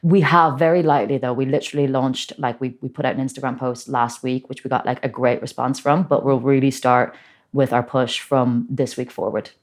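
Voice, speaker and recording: A woman with a Dublin Irish accent and a very deep voice for a woman. She talks loosely and chattily as a guest, circling back with fillers and restarts. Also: fairly even intonation, a little fast. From Ireland. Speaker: guest, party organizer. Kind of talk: casual interview chat.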